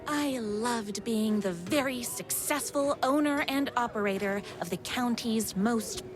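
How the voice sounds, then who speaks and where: A woman playing a high-voiced, gushing, self-adoring waitress superfan. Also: a little slow, music in the background, lightly acted. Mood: dreamy, smug. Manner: gushing, dreamy, self-satisfied. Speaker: narrator and lead character. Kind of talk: comic scripted narration and game dialogue.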